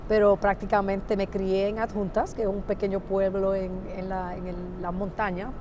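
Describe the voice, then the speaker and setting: A middle-aged woman from Puerto Rico hosts a casual interview, asking friendly questions. Her pitch sits in the middle, and noticeable noise runs under the recording. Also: little pitch movement, moderate pace. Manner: friendly, questioning, mixes in English fillers. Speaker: interview host. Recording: noisy recording.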